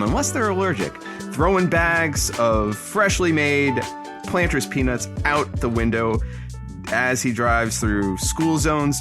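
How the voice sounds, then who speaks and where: A man playing an upbeat radio announcer reading an absurd promo in a comic audio drama. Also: medium pitch.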